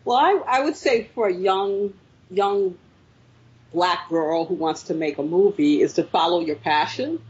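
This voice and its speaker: A woman's voice: on the low side, measured pace, darkish tone, husky, highs cut off. A woman filmmaker answering interview questions, telling her story reflectively with frequent fillers. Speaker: filmmaker guest.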